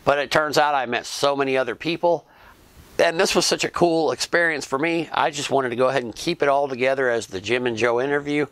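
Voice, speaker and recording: A man telling his own trip story in a relaxed, chatty way, with small restarts. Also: mid-range voice, a little fast, no room sound. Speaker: YouTube vlogger and fan interviewer. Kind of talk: casual recounting narration.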